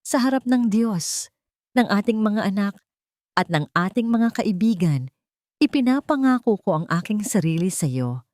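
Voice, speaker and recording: A woman voicing a loving, devoted bride who speaks in earnest, measured phrases in a radio drama story. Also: mid-range voice, animated, a little mellow, dry recording, studio quality, pitched above the performer's own voice, natural voice. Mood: earnest, emotional.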